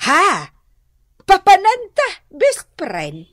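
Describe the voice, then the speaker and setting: A high-voiced, scheming woman with an Ilocano accent, effusive and exaggerated. Her lines swoop widely and stretch out slowly, in broad radio comedy drama style. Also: huge pitch swings, a bit bright, no echo, studio quality, exaggerated voice. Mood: sweet, eager. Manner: exaggerated, drawn-out, giggly delivery. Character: scheming, effusive woman borrower, fond of gambling.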